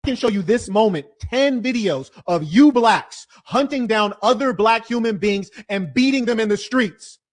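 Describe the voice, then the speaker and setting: A man with a high-pitched voice argues in a heated debate. He lectures hard and combatively, sinking low and then bursting into loud shouts. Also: moderate pace, hardly any pauses.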